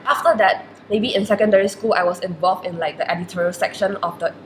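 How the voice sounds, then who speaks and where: A woman's voice: medium pitch, steady tone, fast. Twenties malaysian girl, chinese first language, thoughtful rambly interview answers, echoey room. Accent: Malaysian English. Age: 20s. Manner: reflective, many "like" fillers. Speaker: university student guest. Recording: roomy echo with faint noise.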